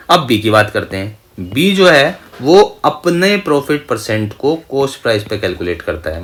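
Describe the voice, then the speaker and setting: Male maths tutor, mid-pitched, instructional, question-and-answer delivery with English terms mixed in. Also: a bit bright. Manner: instructional, often asks questions and answers them himself; mixes English terms into speech. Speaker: maths teacher for competitive exam coaching. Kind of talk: step-by-step spoken lesson.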